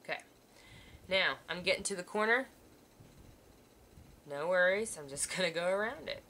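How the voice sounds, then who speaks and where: A woman teacher walks students through sewing a seam while she works at a table under an overhead camera. Her voice is bright and sits a little low, and her manner stays patient and reassuring. A faint hiss runs under the recording. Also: expressive, moderate pace. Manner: patient, reassuring, talks while working hands-on. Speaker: art teacher. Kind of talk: step-by-step sewing demonstration.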